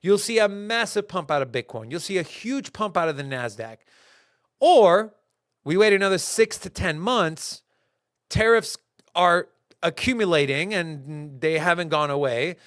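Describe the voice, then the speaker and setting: A young man hosting a casual crypto market show, talking in animated bursts with fillers and restarts. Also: sits high, a little slow, no room sound, clean studio sound. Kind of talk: casual market commentary to a live chat.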